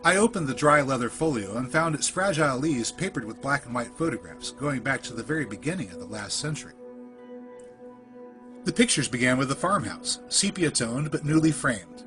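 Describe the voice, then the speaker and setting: Male narrator, medium pitch, for scripted creepy fiction; deliberate, measured storytelling delivery. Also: animated, fairly bright tone, faint music behind. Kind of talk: scripted first-person spooky story narration.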